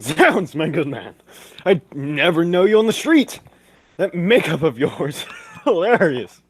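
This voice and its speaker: A man acting a pompous, excitable old-fashioned gentleman sidekick in a 1940s radio play, with flowery exclamations. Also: on the high side, measured pace, husky, full character voice. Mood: astonished.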